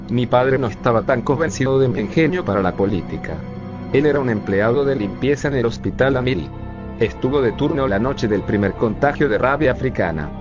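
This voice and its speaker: Synthetic guy doing a bitter sarcastic ex-refugee, average pitch, music playing loud underneath. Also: lightly acted. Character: urban planning professor recalling his youth. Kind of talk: scripted narration.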